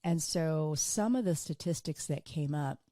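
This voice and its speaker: A woman hosting her own business podcast, talking earnestly on her own, a little hoarse and tired from illness. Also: sits low, measured pace, warm tone, dry recording, clean studio sound. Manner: earnest, repeats for emphasis. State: hoarse, recovering from illness, fatigued. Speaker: podcast host. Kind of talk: solo business podcast monologue.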